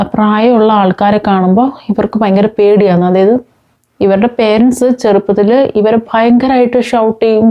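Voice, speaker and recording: A woman's voice: medium pitch, muffled recording. A woman talking informally to viewers, explaining with examples and mixing in English words. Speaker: host of a parenting talk.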